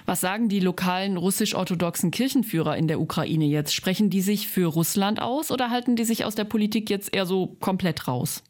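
A woman hosting a radio interview, asking questions in a clear, composed manner. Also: mid-range voice, fairly quick, few pauses, a little mellow.

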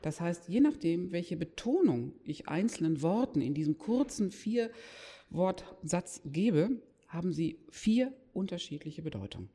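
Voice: mid-range voice, lively intonation, unhurried, mellow, slight background noise. A lecturer explaining didactically to an audience, stressing key words and posing rhetorical questions. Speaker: lecturer in nursing or healthcare. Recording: echoey hall with long reverb.

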